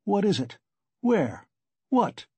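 Guy doing an angry proud suspect, agitated and demanding, voice pushed up high and husky, audiobook drama. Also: expressive, normal speed, a little mellow, dry recording, exaggerated voice. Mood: frantic rage. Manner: imperious, insistent, agitated. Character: haughty, furious, tormented male suspect under questioning. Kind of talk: dramatic audiobook character reading.

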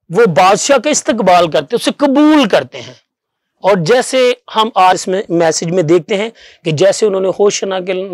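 A middle-aged man delivers a televised Bible message. His voice sits high for a man and is recorded dry on a lapel mic in a studio. He explains step by step in a halting way, repeating phrases for emphasis. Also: no room sound. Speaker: pastor. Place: small TV studio, seated at a desk.

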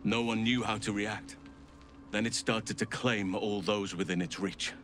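An actor playing a solemn, gentle middle-aged elven archdruid in a fantasy game, speaking in a measured, earnest, formal way. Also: male voice, on the low side, fairly even intonation, slight background noise. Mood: solemn, regretful. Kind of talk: scripted fantasy game dialogue.

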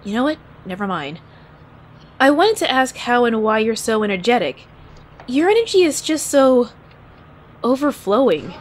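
Casting: a woman as curt, reserved young female inspector for anime audio drama, fairly high, expressive pitch. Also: moderate pace, long pauses. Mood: baffled, reserved. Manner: polite but terse, dry. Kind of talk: anime-style fan audio drama acting.

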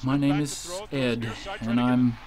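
A man acting in a radio drama as a terrified, pleading hostage, halting and panicky. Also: mid-range voice, steady tone, crowd noise, close to an everyday voice. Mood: cowed. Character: terrified, pleading male lawyer held at gunpoint.

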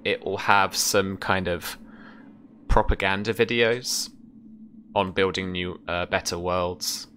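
A man's voice: low voice, steady tone, takes it slowly, bright, forceful tone, a little hiss or hum. A man in his thirties improvising scene narration as game master, in hesitant, piecemeal phrases, close-miked on a video call. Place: small room at home with bookshelves.

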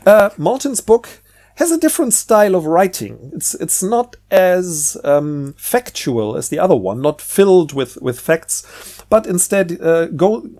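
A man giving a casual, rambling book review in a halting way, often repeating words. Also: high voice, sing-song, darkish tone.

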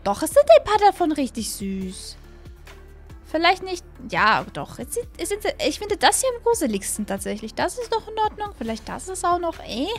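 A young adult woman giving casual, excitable gameplay commentary as a host, repeating phrases and stumbling over words, close on a studio mic. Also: very high-pitched, normal speed, soft background music. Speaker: gaming video host. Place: small room at a gaming setup, indoors. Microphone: close studio mic on boom arm.

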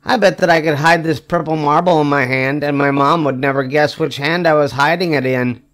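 An older man reads a children's story aloud in a slightly high-pitched voice. His delivery is expressive and emphatic. He lets the short punchlines stretch out with wry weight. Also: brisk. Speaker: storybook reader. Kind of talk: expressive read-aloud of a children's picture book.

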